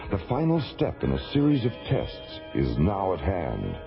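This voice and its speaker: Portentous sci-fi radio narrator, deepish, dull vintage sound. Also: male voice, wildly swooping pitch, creaky, full character voice. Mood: grave, suspenseful. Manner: portentous, deliberate. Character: grave announcer narrating a space adventure serial. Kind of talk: dramatic scripted radio drama narration. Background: loud dramatic music.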